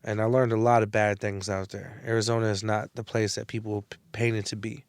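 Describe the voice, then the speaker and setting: Bay area dude being interviewed, low flat voice, super chill, cusses here and there, clean smooth sound. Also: male voice, a little fast, dry recording. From San Francisco Bay Area. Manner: laid-back, slangy, swears casually. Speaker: guest, rapper and entrepreneur. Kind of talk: casual interview chat.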